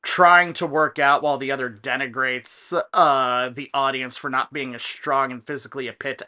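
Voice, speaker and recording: A man giving casual, digressive commentary on a video game, explaining things in a halting way. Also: medium pitch, steady tone, pushed and bright. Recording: faint music in the background.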